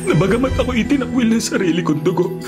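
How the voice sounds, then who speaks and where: An actor playing a devout, forgiving governor and loving son in a Filipino radio drama, speaking solemnly in formal diction. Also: male voice, sits high, animated, fast. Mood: grieving, tearful. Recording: radio drama with music underneath.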